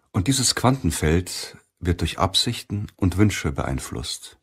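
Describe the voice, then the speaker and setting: A man reading a self-help audiobook in a measured, deliberate way with pauses. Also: low voice, breathy edge. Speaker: audiobook narrator. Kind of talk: scripted audiobook narration of a self-help book.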